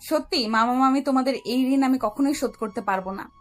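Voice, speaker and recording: High-voiced polite young bride acted by a woman. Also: fairly quick, faint music behind, lightly acted. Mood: grateful, worried. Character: grateful young runaway bride, polite and anxious.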